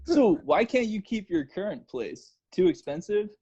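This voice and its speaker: A man with a slightly high, husky voice chats casually as a podcast co-host. He takes his time, and his pitch is lively as he jokes along. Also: normal speed, dull audio. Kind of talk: casual chat, joking.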